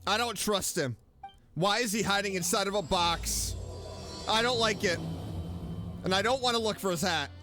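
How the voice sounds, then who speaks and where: A woman's voice: medium pitch, moderate pace, long pauses, music in the background. A woman streaming a game with casual commentary, reacting with joking scepticism. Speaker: streamer playing a story game.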